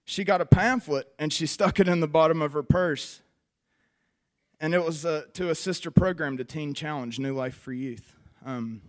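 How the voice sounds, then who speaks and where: A man in his twenties with a West Virginia accent, giving an earnest, conversational personal testimony to a church audience with frequent pauses. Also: on the high side. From West Virginia, USA. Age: 20s. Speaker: recovery program member giving testimony.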